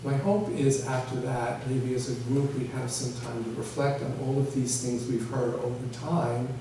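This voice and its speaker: A man's voice: medium pitch, little pitch movement, moderate pace. Man addressing a meeting, very echoey. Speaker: school official leading the meeting. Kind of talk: public meeting remarks. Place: large echoey meeting room. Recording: distant mic, very echoey room, noisy hum.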